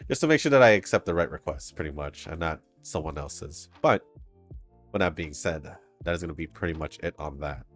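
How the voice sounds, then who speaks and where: A man hosting a gaming channel, chatting casually over gameplay with a stuffy, congested nose, rambling and laughing between comments. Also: sits low. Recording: faint game music behind. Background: soft game music.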